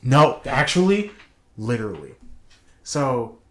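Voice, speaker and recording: A man co-hosting a casual review discussion, thinking aloud in a halting way. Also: medium pitch, big pitch swings. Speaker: show co-host. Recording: roomy, echoing sound.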